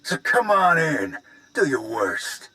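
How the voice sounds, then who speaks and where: Swooping, belligerent space pirate boss. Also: male voice, a little high, very animated, slow pace, bright, forceful tone, exaggerated voice, rough recording. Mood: menacing. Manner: rough, colloquial, threatening. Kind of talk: video game voice acting.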